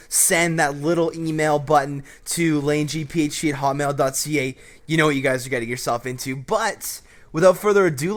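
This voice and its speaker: A young man hosting a casual advice show, brash and joking, with some swearing. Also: fairly high pitch. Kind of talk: casual advice commentary over gameplay.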